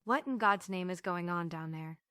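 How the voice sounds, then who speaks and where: A wry, outspoken, world-weary heroine of a stage play, read by a woman. The voice is bright and very clear, sardonic in manner, with a dry, close sound. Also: lowish voice, moderate pace, dry recording. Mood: fed up. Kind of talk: theatrical play table read.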